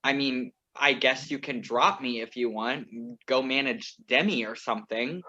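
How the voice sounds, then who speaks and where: A man's voice: mid-range voice, moderate pace, bright, forceful tone. A young man reading the part of a famous, restless young singer in a virtual staged reading, with a wry, teasing delivery. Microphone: video call. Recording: muffled lo-fi video call audio.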